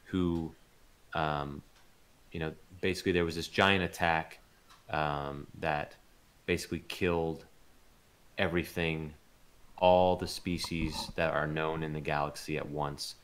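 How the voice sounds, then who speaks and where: A man's voice: very deep voice, steady tone, a little slow, fairly bright tone, a little hiss or hum. A man talking casually and informally as an interview guest. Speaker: interview guest, voice actor and audio drama producer.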